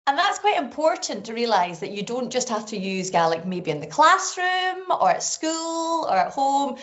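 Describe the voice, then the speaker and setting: A woman's voice: high voice, very animated, normal speed, a bit bright. Upbeat Scottish woman teaching children. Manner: upbeat, encouraging, sings call-and-response phrases. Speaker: festival director hosting a school session. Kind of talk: lively children's lesson presenting. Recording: lo-fi video call audio.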